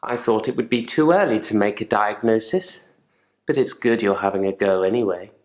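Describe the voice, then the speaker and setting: An actor playing a controlled, quietly menacing therapy patient in a game drama, speaking in a measured, deliberate and politely probing way. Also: male voice, lowish voice, roomy sound, lightly acted. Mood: composed, wry. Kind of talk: live-action game drama monologue.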